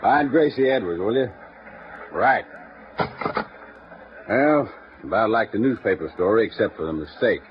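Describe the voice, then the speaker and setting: Bluff red-faced editor, blunt and folksy, faint hiss behind. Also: male voice, fairly low pitch, expressive, a little slow, long pauses. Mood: gruff. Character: bluff, red-faced male small-town newspaper editor. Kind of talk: 1950s radio drama acting. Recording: muffled vintage radio broadcast with faint hiss.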